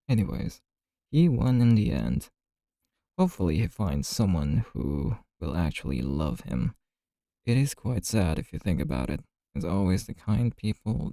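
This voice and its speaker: A man playing a chatty, easygoing bartender in an ASMR roleplay, telling gossipy stories in a casual, friendly, unhurried way. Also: deep voice, creaky, dark tone, no echo, studio quality, exaggerated voice. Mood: amused, sympathetic.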